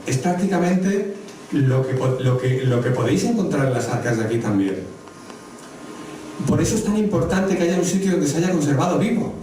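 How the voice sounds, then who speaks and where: A man's voice: on the high side, a little mellow. Male lecturer, Madrid accent, explanatory delivery; distant mic in a very reverberant hall. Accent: Madrid Spanish. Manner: explanatory, addresses the audience directly. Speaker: guest lecturer on traditional dress. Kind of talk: public lecture with slides. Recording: echoey hall, distant mic, faint noise.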